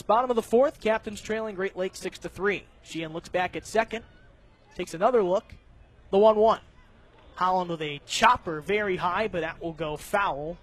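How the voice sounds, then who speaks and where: An American man doing live radio play-by-play of a baseball game, describing each pitch and play in detail. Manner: detailed, narrates each pitch, animated on big plays.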